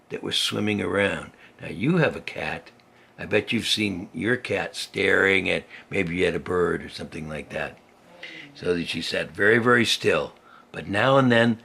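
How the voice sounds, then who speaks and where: An older man, a grandfather reading a children's story aloud in a folksy, chatty way, with a gravelly, husky voice and simple asides as if to a small child. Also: low-pitched, sharp tone.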